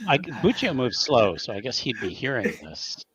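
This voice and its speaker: A man's voice: mid-range voice, big pitch swings, normal speed, a bit bright, muffled recording. A man casually narrating his character as a tabletop game player, speaking hesitantly.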